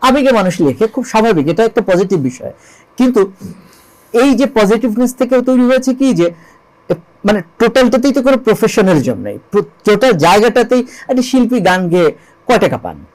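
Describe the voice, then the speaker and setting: High-pitched Bangladeshi man, emphatic interview guest. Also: big pitch swings, brisk, darkish tone, poor audio. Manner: emphatic, uses rhetorical questions and repetition. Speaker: interview guest, songwriter and composer. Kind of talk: earnest interview storytelling with English loanwords.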